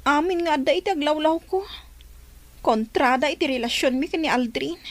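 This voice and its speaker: A woman's voice: high voice, fairly quick, close to an everyday voice. An actress playing a loyal, trusting, easily hurt young woman in love, in a radio drama with plaintive delivery. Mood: troubled, defensive.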